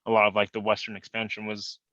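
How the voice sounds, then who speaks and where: Low-voiced man with a bright edge, dull lo-fi sound. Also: completely flat delivery, quick pace, no echo. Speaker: podcast host. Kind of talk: casual conversational interview. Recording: dull, dry lo-fi recording.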